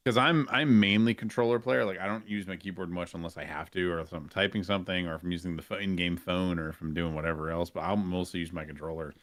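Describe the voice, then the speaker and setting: A man's voice: sits low, fairly even intonation, fast talker, hardly any pauses, a bit bright. A man talking casually as a guest on a gaming stream, in plain conversational phrases. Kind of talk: casual chat.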